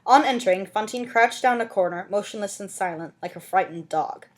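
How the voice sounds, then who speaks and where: A woman's voice: medium pitch, brisk. A woman reading a classic novel aloud as an amateur audiobook narrator, with dramatic scripted delivery.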